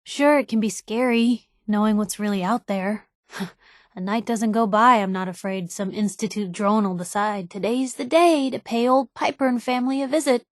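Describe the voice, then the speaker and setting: A feisty young newspaper reporter, played by a woman, with a fairly high voice and a wry, slangy way of talking. She is an expressive, loyal game companion, recorded dry with no room sound. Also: normal speed, no echo, natural voice. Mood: wry, easygoing. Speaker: voice actor for a game companion character. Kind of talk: video game companion dialogue, conversational acting.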